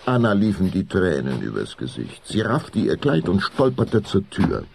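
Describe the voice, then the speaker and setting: A man narrating a children's audio drama in a measured, suspenseful way. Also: low voice, huge pitch swings, creaky, noisy background.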